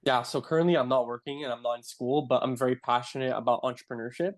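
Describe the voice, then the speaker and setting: A man's voice: medium pitch, fast talker. Laid-back young Canadian man. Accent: Canadian English. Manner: relaxed, filler-heavy, says "like" often. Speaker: guest. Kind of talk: casual interview.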